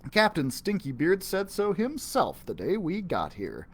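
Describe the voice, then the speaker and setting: A man's voice: high voice, wildly swooping pitch, unhurried, slightly rough. A man reading a children's adventure story aloud as a storyteller. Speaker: library storyteller.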